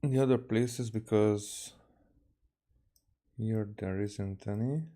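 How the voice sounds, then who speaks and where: A man from Hungary with a Hungarian accent, casually streaming a game and talking haltingly with many fillers and restarts. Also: fairly low pitch, fairly even intonation, dark tone. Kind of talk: casual livestream commentary, reading game dialogue aloud.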